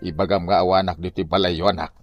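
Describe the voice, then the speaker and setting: A man's voice: low voice, level tone, quick pace, highs cut off, over music, close to an everyday voice. A man with an Ilocano accent playing an evasive, grumbling husband and father in a village comedy drama, given to whiny exclamations. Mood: evasive, stubborn.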